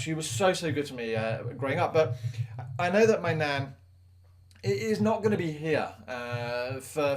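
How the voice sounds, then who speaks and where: A man, a maths teacher giving students earnest advice in a conversational, reflective talk full of fillers. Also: on the high side.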